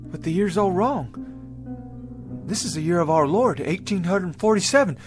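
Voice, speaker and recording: An actor playing a bewildered, desperate man from an 1840s wagon train, with halting, old-fashioned rustic speech in a vintage TV drama. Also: high-pitched, lively intonation, music in the background. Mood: panicked.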